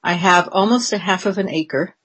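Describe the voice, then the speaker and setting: A woman in her seventies with a somewhat low, husky voice. She talks in a meandering, reflective way, circling back on her thoughts with ums and restarts. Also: normal speed, a bit bright. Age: 70s. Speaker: interviewee. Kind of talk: reflective conversational interview.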